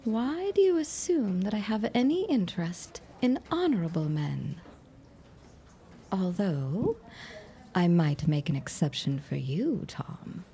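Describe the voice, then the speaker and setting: A woman's voice: medium pitch, very animated, takes it slowly, dark tone, a little hiss or hum. A woman acting a brusque, flirtatious and world-weary secretary in a 1910s period audio drama, with curt, slangy, sardonic delivery. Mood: flirtatious, brisk.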